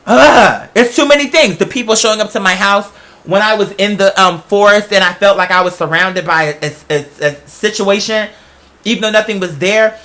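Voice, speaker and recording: Bright, chatty storyteller with slangy emphasis. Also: mid-range voice, moderate pace. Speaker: podcast host. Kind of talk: casual rambling storytelling to an audience.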